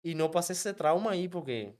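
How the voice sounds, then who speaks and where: A man with a Cuban Spanish accent tells his story at a quick clip, slipping Spanish words in as he goes. His voice sits slightly high for a man and moves fast and animated. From Cuba. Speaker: guest, app driver. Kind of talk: casual storytelling interview.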